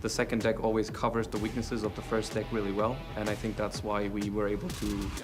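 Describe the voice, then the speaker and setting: A man from Germany, a competitor, calmly explaining his team's strengths in an interview clip. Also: on the low side, fairly quick, music in the background. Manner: calm, matter-of-fact, confident. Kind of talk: pre-match interview clip.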